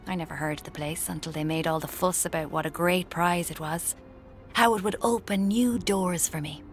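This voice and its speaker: An actress playing a dreamy, self-deluded young Irish woman narrating her own story in a breathy, confiding, languid way for radio drama, with music underneath. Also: on the low side, normal speed, close to an everyday voice. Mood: wry, confiding. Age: young adult. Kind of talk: intimate first-person radio drama narration.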